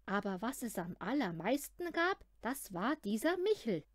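A woman's voice: on the high side, very animated, normal speed, no echo, studio quality. A woman narrating a children's story from a script, expressively and as if speaking to a young listener. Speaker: audiobook reader.

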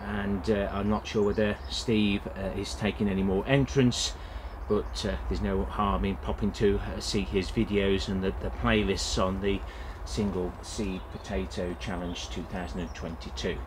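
A man's voice: sits low, flat delivery, normal speed, few pauses, noisy background. A man talking casually through an allotment vlog outdoors, with plenty of "uh" fillers. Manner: plain-spoken, many "uh" fillers. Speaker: allotment gardening vlogger. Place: outdoor allotment, in and around a greenhouse.

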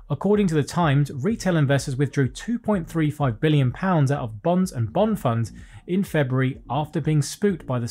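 A British man in his thirties presenting a scripted finance news roundup from a small home studio, reading headlines briskly and dropping wry jokes between them. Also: on the high side, a little mellow. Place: small home studio with desk and lights, indoors.

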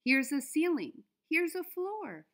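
Woman reading a picture book, slowly and animatedly. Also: high-pitched, slight background noise. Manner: deliberate, expressive reading for young children. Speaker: children's book reader. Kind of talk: storybook read-aloud.

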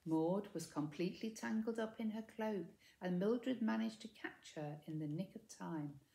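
A woman's voice: medium pitch, normal speed, gravelly, warm tone. Older woman reading a story, roomy echo. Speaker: storybook reader. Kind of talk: expressive children's story narration. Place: indoors, living room by a window. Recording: echoey room.

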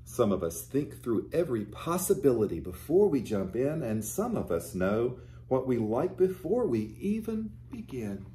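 A man in his fifties, a pastor reading a picture book aloud to young children and explaining it gently and simply. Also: mid-range voice, very animated, moderate pace, hardly any pauses, a little hiss or hum. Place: small furnished living room, indoors.

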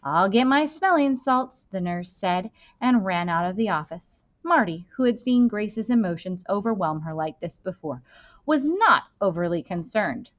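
A woman in her thirties reading a children's novel aloud expressively, with deliberate phrasing and dramatic stresses. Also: lowish voice, lively intonation. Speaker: school librarian reading aloud. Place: indoor room. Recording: dull, lo-fi webcam-style sound.

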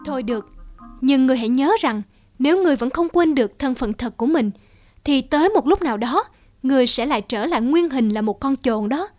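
Woman as cartoon love goddess, high voice, formal n admonishing, music loud behind her. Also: expressive, fairly quick. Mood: stern warning. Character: stately love goddess, questioning and cautioning. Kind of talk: cartoon fairy-tale voice acting.

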